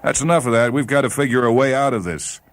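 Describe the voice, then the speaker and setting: Male reader as commanding spaceship captain, indignant, authoritative, pitched well above his narration, faint noise behind. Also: fast, close to an everyday voice. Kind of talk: dramatized character voice in story reading.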